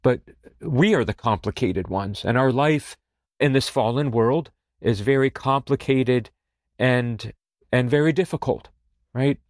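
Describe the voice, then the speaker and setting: A man hosting a podcast, talking in a gentle, reflective way with lots of "you know" fillers. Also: mid-range voice, unhurried, no echo, studio quality. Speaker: podcast host. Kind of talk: reflective spoken talk.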